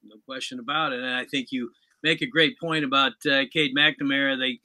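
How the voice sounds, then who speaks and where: A man's voice: mid-range voice, fairly even intonation, a little fast, sharp tone. A man hosting a sports show in a conversational interview style, commenting and putting questions to his guest.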